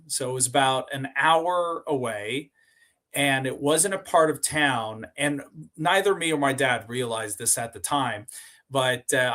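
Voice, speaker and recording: A man from Sweden speaks as a guest on a podcast, sharing stories about his life in a loose, conversational way. He backs up and repeats himself, and he fills his pauses with "uh" and "you know." His pitch sits in a typical middle range. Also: a bit bright. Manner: rambling, repeats and restarts words, many fillers. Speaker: podcast guest, company co-founder. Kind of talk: casual interview storytelling.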